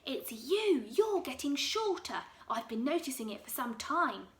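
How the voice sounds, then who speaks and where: A woman's voice: sits high, big pitch swings, measured pace, heavily acted. A woman voicing a mean, spiteful old man who taunts and gloats, reading a storybook aloud. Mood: gloating. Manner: sneering, taunting. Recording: echoey room.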